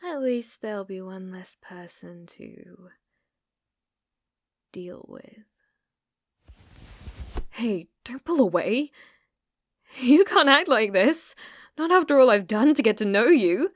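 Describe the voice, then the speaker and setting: Woman acting an obsessive British stalker girl, unhurried and intimate. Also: a little high, lively intonation, no echo, studio quality. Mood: insistent. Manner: intimate, unhinged, swinging between whispers and intense pleading. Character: obsessive, delusional young woman stalker, sweetly menacing. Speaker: ASMR roleplay performer. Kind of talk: ASMR roleplay acting. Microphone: close ASMR microphone.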